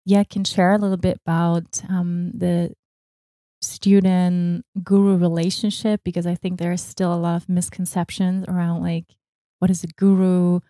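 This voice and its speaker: A woman hosting a yoga podcast, putting her questions gently and hesitantly. Her voice sits fairly low, sounds warm and mellow, and stays flat in pitch. It is recorded close and dry. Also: moderate pace, no echo. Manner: gentle, hesitant phrasing with "um" and "maybe". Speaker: podcast host, yoga teacher. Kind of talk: interview questions.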